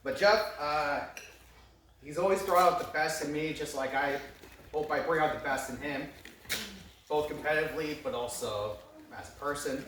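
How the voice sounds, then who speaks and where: A man giving a wedding toast to his old friend, emotional and laughing, with a loud voice. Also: on the high side, moderate pace, pauses often. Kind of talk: heartfelt toast. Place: wedding reception venue. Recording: distant mic in an echoey hall with loud crowd noise.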